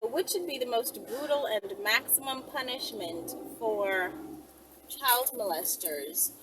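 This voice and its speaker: A woman's voice: animated, slow, recorded from a distance. A woman chats casually to viewers in a blunt, slangy, playful way. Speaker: vlogger answering viewer questions. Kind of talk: casual, rambling chat to viewers. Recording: noisy vehicle rumble, voice off mic.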